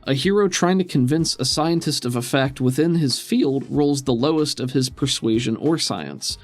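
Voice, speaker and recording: A man's voice: medium pitch, moderate pace, soft background music. A man running a tabletop game as game master, narrating and explaining rulings in a casual, conversational way.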